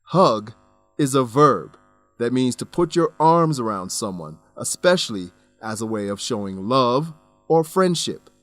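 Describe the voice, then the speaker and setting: A man narrating a scripted English lesson, enunciating deliberately as he explains words and grammar. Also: medium pitch, very animated, a bit bright, dry recording, faint music behind.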